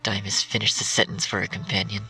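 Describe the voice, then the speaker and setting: A man narrates dark fan fiction in a hushed, half-whispered voice. His tone is bright with a gravelly rasp. He sounds a bit distant from the mic, with faint music underneath. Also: on the low side, flat delivery, fast. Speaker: story reader.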